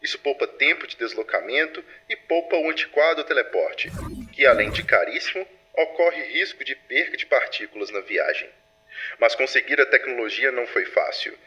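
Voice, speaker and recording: Casting: man to play authoritative secret-agency leader narrating files, formal and grave, sharp tone, lo-fi audio drama sound. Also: medium pitch, animated. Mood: formal, proud. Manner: formal, measured, solemn.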